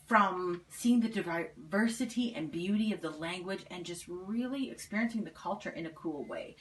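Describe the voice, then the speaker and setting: A woman's voice: medium pitch, slightly rough, low-quality recording. A young woman giving a prepared, personal presentation as a student.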